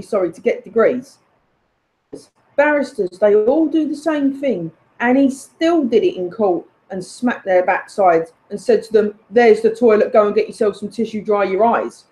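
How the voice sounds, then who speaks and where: A woman's voice: high voice, dark tone, low-quality recording. A woman delivering an indignant, emphatic personal rant, addressed accusingly to someone who is absent.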